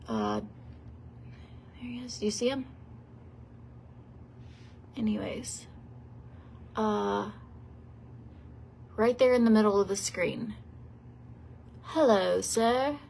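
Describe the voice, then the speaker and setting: A woman's voice: on the high side, gravelly, darkish tone, a little hiss or hum. A sniffly woman in her thirties from Tennessee, chatting in a rambling, casual way while getting ready in a hotel room, talking into a phone held at arm's length. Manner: chatty and rambling, lots of likes and ums. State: sniffly from a cold. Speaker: beauty vlogger. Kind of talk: casual get-ready-with-me chat. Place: fancy hotel room, indoors.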